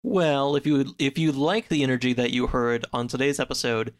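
Casting: male podcast guest, informal, slightly halting delivery, average pitch. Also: fairly even intonation. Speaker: podcast guest and co-host of another show. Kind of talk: casual podcast chat.

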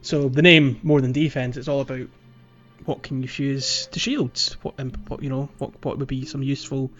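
A male podcast host chats casually about a video game, explaining each step as he goes. His mid-pitched voice sits in a slightly lo-fi recording. Soft music plays behind him. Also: fairly even intonation, normal speed. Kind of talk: casual game walkthrough chat.

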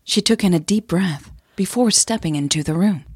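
A woman's voice: fairly low pitch, moderate pace, slightly rough, no echo. A woman reading scripted audio drama narration as a podcast host and narrator.

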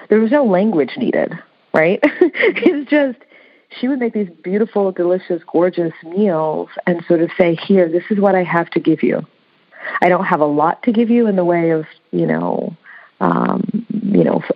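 Woman, lower voice, reflective author guest, lo-fi sound. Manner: halting, repeats words while searching for them. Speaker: author being interviewed. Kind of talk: reflective conversation. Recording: dull lo-fi audio.

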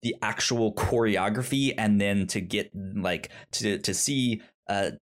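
A man's voice: fairly low pitch, unhurried. A man co-hosting a casual film podcast, stuttering on first sounds and restarting often as he searches for words. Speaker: podcast co-host.

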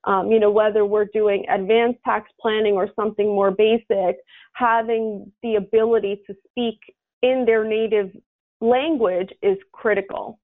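A woman's voice: mid-range voice, steady tone, a little slow. A woman from the United States explaining things earnestly, with examples, as an advisor being interviewed. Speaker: interview guest, estate planning and tax advisor. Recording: thin, narrow phone-line sound.

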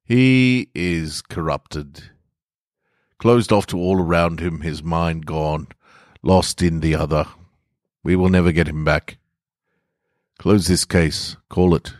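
A man's voice: low-pitched, slow pace, long pauses, a little mellow, no room sound, clean studio sound. A man voicing a towering, solemn archangel who speaks in grave, formal, commanding pronouncements for a story.

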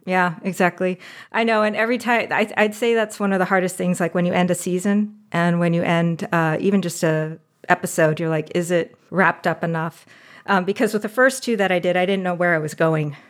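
A woman guest on a podcast interview, talking in a casual, animated way and laughing often as she gives advice. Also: fairly low pitch, brisk. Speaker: podcast guest, audio drama producer.

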